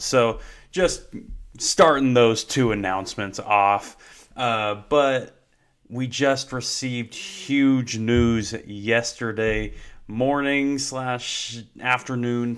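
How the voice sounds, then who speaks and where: A young man hosting a solo sports talk show, a little hoarse, with many fillers, and his pitch climbs when he rants in exasperation. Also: a bit bright. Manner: many fillers, unhurried delivery. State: slightly hoarse from yelling. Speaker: host.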